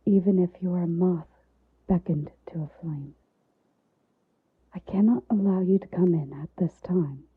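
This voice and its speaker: A woman playing a soft-spoken, alluring and guarded gothic lady in an ASMR roleplay, formal and teasing, often dropping into a whisper. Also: fairly low pitch, husky, no room sound, phone-quality sound. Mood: reluctant, tender. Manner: slow, formal, teasing endearments.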